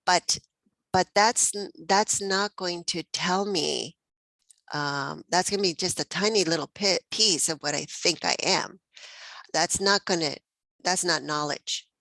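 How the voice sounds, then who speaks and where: A woman's voice: fairly low pitch, a little slow, creaky, pushed and bright, dry recording, low-quality recording. A woman from Mexico hosting a spiritual text study group, thinking aloud with many fillers and easy laughs. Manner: reflective, many fillers and restarts, laughs often.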